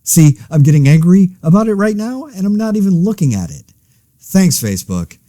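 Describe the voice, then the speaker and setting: A man's voice: sits high, sing-song, normal speed, warm tone, no room sound, studio quality. A man playing an exasperated, anxious everyman podcaster in a conversational audio drama, rambling wryly and interrupting himself. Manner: wry, rambling, self-interrupting. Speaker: host of a fictional monologue podcast.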